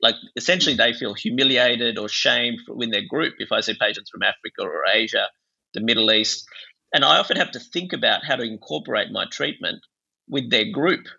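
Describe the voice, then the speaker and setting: A man's voice: medium pitch, brisk, gravelly, bright, forceful tone, husky, highs cut off. A man from Bangladesh speaking as a psychiatrist guest in an interview, explaining ideas in a discursive, intellectual way.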